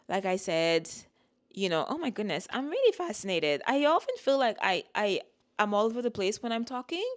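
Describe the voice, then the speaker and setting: A woman's voice: a little high, clean tone. A woman whose first language is French, giving a casual spiritual talk as an astrologer, rambling and repeating words as she reassures her viewers.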